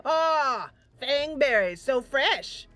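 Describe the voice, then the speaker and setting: A woman's voice: sits high, expressive, extremely slow, a bit bright, voice pushed up, full character voice. A woman voicing an eccentric old male herbalist in a game roleplay, rambling in a folksy, theatrical way. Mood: delighted, grateful. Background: game music.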